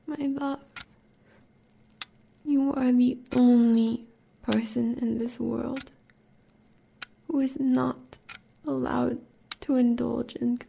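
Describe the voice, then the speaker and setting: Woman acting a gravelly, measured American huntress lover. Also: on the high side, flat delivery, dark tone, a little hiss or hum, exaggerated voice. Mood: fervent, devoted. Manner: measured, intense, formal dramatic speech. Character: devoted, fierce huntress lover of a werewolf villain. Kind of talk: audio roleplay acting.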